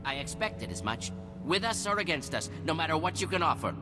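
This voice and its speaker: An actor playing a proud, arrogant scientist in a video game, formal and defensive, stammering when flustered. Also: male voice, on the high side, big pitch swings, a little fast. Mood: nervous, defensive. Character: brilliant, arrogant male droid scientist, defensive and unrepentant. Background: game music.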